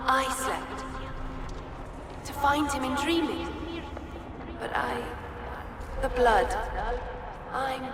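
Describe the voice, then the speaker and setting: Echoing, high-voiced sorrowful spirit. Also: female voice, background noise. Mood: grieving, dazed. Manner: halting, broken phrases, dreamlike. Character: sorrowful fragmented spirit of a long-dead elven woman. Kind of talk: video game voice acting. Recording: distant, heavy reverberant echo under music.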